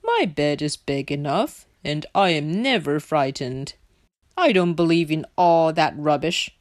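A woman's voice: sits low, animated, a little slow, dry recording, clean studio sound, pitched below the performer's own voice. A woman voicing a grumpy, sleepy female pig in a children's storybook, blunt, scoffing and fearless. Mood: dismissive.

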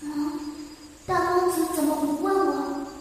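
A woman's voice: very high voice, fairly even intonation, measured pace. A woman playing a timid, deferential young servant girl in a costume radio drama. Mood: puzzled, pondering. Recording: echoey long reverb, far off, music behind.

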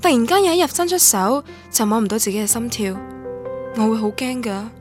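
A woman playing a lonely young student narrates her memories in Cantonese. Her voice sits fairly high and she speaks in a reflective, literary radio drama manner. Music plays behind her. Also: animated, brisk, lightly acted. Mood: wistful, reflective. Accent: Cantonese from Guangdong. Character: wistful young woman student recalling lost love, lonely.

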